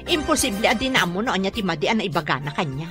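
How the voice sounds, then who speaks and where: A woman's voice: mid-range voice, lively intonation, fast talker, over music, close to an everyday voice. A woman playing a brazen, jealous, scornful neighbour in an Ilocano radio drama, speaking with an Ilocano accent. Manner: scornful, confrontational.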